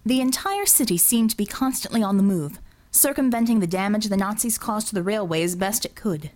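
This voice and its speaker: A woman reading dramatic fiction as an audiobook narrator, deliberate and expressive in her storytelling. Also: medium pitch.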